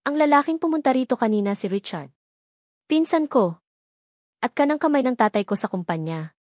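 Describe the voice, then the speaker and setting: A woman narrator acts out a proud, wounded young Filipino man of wealth in a dramatic audio story. He is brooding and bitter, quick to anger. The sound is dry and close. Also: fairly high pitch, expressive, a little mellow, dry recording, natural voice. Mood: confiding, pained.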